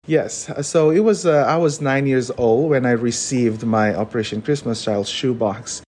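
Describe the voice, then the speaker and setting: A young man from Fiji with a Fijian accent, a podcast guest telling his story earnestly with many pauses. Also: mid-range voice, a little mellow. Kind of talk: personal storytelling.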